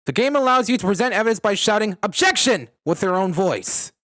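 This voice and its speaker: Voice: medium pitch, lively intonation, a little fast, no room sound, clean studio sound, close to an everyday voice. A solo performer voicing a cocky, earnest male defense lawyer from a video game, declaiming emphatically. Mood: emphatic. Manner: emphatic, declamatory, shouts accusations. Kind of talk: fan dub courtroom comedy, dramatic video game acting.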